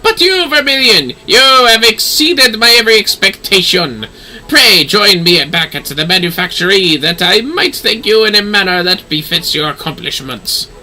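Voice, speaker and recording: A man's voice: high voice, lively intonation, moderate pace, pushed and bright, heavily acted, low-quality recording. A man voicing an eloquent, effusive nobleman from a fantasy game, with florid, theatrical phrasing. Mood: gracious, effusive. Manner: florid, theatrical, formal speech. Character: flamboyant, eloquent nobleman, effusive patron of a workshop. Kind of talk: fantasy game dialogue read aloud.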